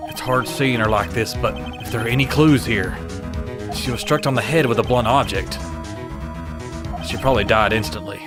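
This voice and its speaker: A man's voice: medium pitch, moderate pace, natural voice. A man playing an earnest, jumpy young defense attorney in a dramatic game fandub. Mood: pensive. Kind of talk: dramatic game fandub, inner monologue and dialogue. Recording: music under the voice. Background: game music.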